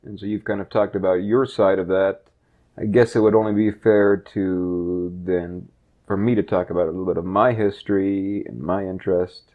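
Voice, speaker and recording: A man in his thirties from Canada and Texas, speaking unscripted in a halting, reflective way as he discusses his personal history. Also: deep voice, steady tone. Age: 30s. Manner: halting, reflective, rephrases as he goes. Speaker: project co-creator and interviewer. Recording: dull, lo-fi sound.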